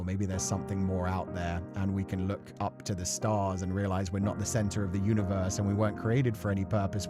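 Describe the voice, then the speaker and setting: A man livestreaming in a casual, rambling, thoughtful way, swearing now and then as he chats with viewers. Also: fairly low pitch. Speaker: streamer and voice actor who plays visual novels. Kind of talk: casual livestream chat, reading and answering viewer comments. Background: music.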